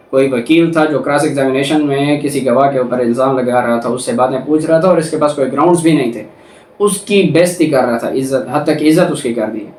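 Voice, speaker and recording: A man's voice: on the high side, a little mellow. A Pakistani man giving a didactic law lecture, reading formal text and explaining it by rephrasing and repeating. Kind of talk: lecture, reading statutes in English and explaining them in Urdu. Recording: roomy echo, distant from the mic.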